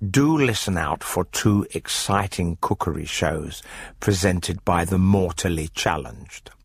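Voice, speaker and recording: A man narrating a scripted radio story as presenter, in a dry, measured manner. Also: low voice, slightly rough, no room sound.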